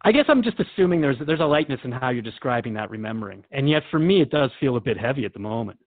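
A man's voice: mid-range voice, big pitch swings, fast talker, dry recording, phone-quality sound. A man playing an earnest, puzzled radio host who asks reflective questions in a scripted drama interview. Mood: curious.

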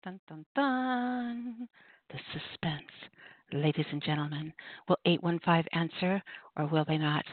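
A woman's voice: sits low, measured pace, no room sound. Middle-aged woman, radio host, casual storytelling, playful, self-deprecating, dry and phone-like sound. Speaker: host of an open mic poetry call-in radio show. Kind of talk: casual, chatty banter and storytelling. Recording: muffled, dry radio call-in line.

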